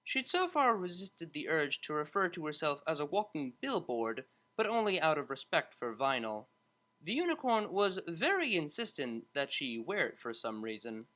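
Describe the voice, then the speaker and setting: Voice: mid-range voice, sing-song, normal speed, pushed and bright. A narrator reading a story aloud in a scripted audiobook style.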